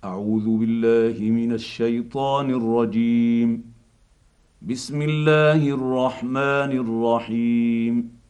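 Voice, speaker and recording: A man chanting Quran recitation in a slow, melodic, drawn-out style, from an archival recording. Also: medium pitch, fairly even intonation, darkish tone.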